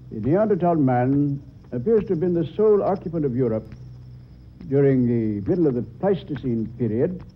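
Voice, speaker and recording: A man's voice: medium pitch, natural voice. An actor playing a learned male doctor in an old-time radio drama, lecturing precisely about his field. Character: learned male doctor, expert on ancient human bones. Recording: muffled, noisy old radio recording.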